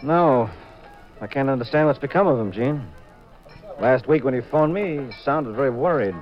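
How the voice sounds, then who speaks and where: An actor playing a skeptical, teasing, self-satisfied detective husband in a 1950s radio comedy-mystery, brisk and sardonic. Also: male voice, medium pitch, expressive, natural voice. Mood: concerned. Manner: brisk, sardonic, playfully needling. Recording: dull 1950s radio recording, faint music.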